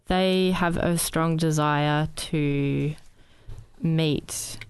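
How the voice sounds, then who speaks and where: A woman's voice: low-pitched, steady tone, extremely slow, smooth, no room sound. A woman, talking bluntly and teasingly in a casual podcast chat. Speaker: guest.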